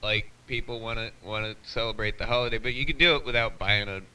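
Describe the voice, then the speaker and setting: A man's voice: lowish voice, steady tone, fast, pushed and bright, smooth. A man chatting casually over CB radio in a plain-spoken, slightly grumbling way, with a distant, hissy sound. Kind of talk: casual chat. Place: on a CB radio live feed.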